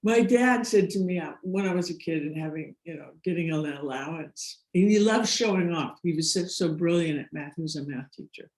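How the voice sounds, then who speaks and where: A woman's voice: on the low side, fairly quick, few pauses, darkish tone. American woman telling stories in a roomy echo. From United States. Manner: rambling, laughs often, many restarts. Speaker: guest. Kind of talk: casual interview storytelling.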